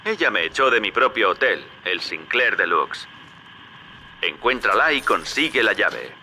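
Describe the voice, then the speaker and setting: Sharp, animated Castilian hustler. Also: male voice, on the low side, measured pace, a little hiss or hum, exaggerated voice. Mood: briefing. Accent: Castilian Spanish. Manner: chummy, colloquial, salesman-like. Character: wily, folksy male hustler, chummy paternal accomplice. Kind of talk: video game character acting.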